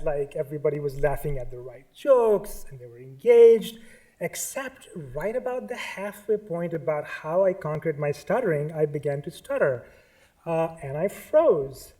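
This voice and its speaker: A man's voice: high-pitched, moderate pace, warm tone. A man with a Pakistani accent giving a live artist talk at a museum, nervous and full of ums, restarts and asides. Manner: nervous, many fillers and restarts. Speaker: artist speaker.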